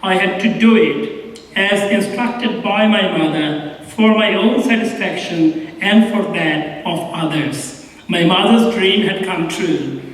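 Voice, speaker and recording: A Bangladeshi man in his fifties giving a formal graduation address in a large auditorium, with a measured, deliberate way of telling his story. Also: sits high. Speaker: commencement speaker. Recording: echoey hall from far off.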